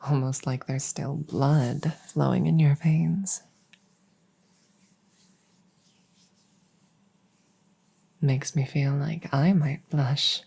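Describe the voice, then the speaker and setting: A woman playing a devoted, doting vampire maid in a soft-spoken ASMR roleplay, gentle and deferential, often slipping into a whisper. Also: extremely low pitch, slightly rough, full character voice. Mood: tender, wistful. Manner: gentle, unhurried, deferential, formal.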